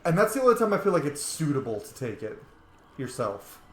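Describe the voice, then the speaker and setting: A man's voice: on the high side, very animated, fairly quick. A man playing a video game and commenting on it casually, chatty and opinionated. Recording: echoey room, faint background noise.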